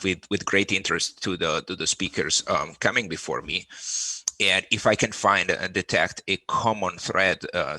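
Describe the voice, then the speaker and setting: A man, a law professor giving a halting conference talk with many repeated words. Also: sits low, sharp tone, no room sound. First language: Italian.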